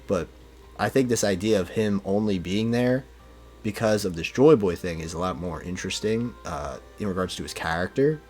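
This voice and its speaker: Low voiced guy chatting like a fan on a podcast, says like and you know a lot, music behind. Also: normal speed, a little mellow. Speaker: podcast speaker discussing manga. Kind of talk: casual fan discussion.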